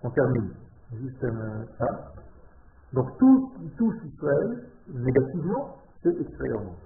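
A man from Israel, speaking French as a learned language and giving a passionate religious lesson to a small group, shifting from quiet talk to loud exclamations. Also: medium pitch, very animated, unhurried. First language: Hebrew. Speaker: religious teacher. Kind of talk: Torah lesson to a live group. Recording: tinny phone-like echoey room.

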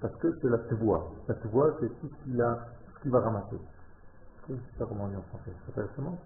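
A man from Israel, speaking French as a learned language and giving a passionate religious lesson to a small group, shifting from quiet talk to loud exclamations. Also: mid-range voice, fast talker, a little hiss or hum. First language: Hebrew. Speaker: religious teacher. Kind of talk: Torah lesson to a live group. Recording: tinny phone-like echoey room.

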